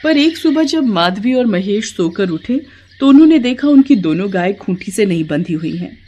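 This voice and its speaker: A woman's voice: medium pitch, big pitch swings, moderate pace, dark tone, dull audio. A woman reading a scripted devotional moral tale as a storyteller. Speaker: narrator.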